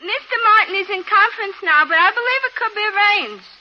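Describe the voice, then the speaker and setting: An actress playing a practical, wisecracking working woman, sardonic and brisk, in a 1950s radio comedy-drama. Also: very high voice. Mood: brisk, businesslike. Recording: dull old radio recording.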